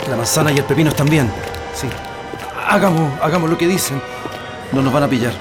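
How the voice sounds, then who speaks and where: A man's voice: a little high, a little fast, close to an everyday voice. Earnest Chilean prisoner played by a man, music behind. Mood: urgent. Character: earnest male political prisoner plotting an escape. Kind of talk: radio drama acting.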